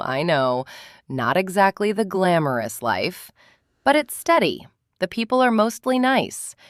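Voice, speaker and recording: Low-voiced woman telling a confessional story, expressively. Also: no echo, clean studio sound. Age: 40s. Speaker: first-person storyteller. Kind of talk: confessional dramatic monologue, conversational narration.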